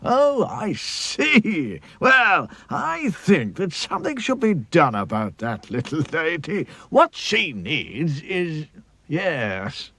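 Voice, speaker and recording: A man voicing an excitable, kindly cartoon doctor who muses aloud in drawn-out exclamations. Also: fairly high pitch, dry recording, pitched above the performer's own voice, full character voice. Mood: concerned, scheming. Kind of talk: children's storybook character voice.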